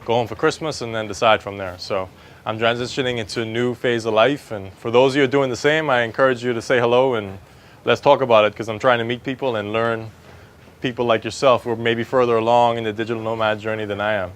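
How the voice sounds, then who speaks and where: A man in his thirties from the Bahamas, presenting a talk in a relaxed, conversational way into a handheld mic in an open-air pavilion. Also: medium pitch, quick pace. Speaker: speaker, digital marketing consultant. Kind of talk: conference talk.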